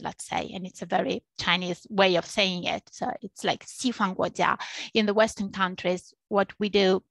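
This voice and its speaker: Woman, Italian accent, slightly gravelly voice, earnest explaining tone, dry close headset sound, video call webinar. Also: mid-range voice, a bit bright, no echo. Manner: earnest, explaining, repeats points for emphasis. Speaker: interpreter and Chinese interpreting teacher. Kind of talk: webinar panel talk. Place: small home office.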